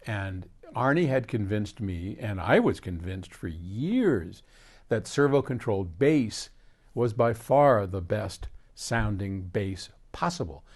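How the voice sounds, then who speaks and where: An older man with an American accent talks casually, as if answering a question from his audience. His pitch swings widely as he explains things in an animated, digressive storytelling way. The delivery is informal and rambling. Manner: animated, digressive storyteller who quotes conversations.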